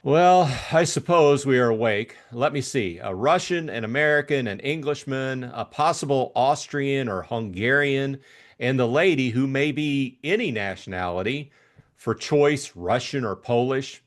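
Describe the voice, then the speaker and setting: A man voicing a lighthearted, teasing young gentleman in an audiobook, with an exclamatory, musing manner. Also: medium pitch, unhurried, pitched above the performer's own voice, natural voice. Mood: incredulous musing. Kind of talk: audiobook character acting.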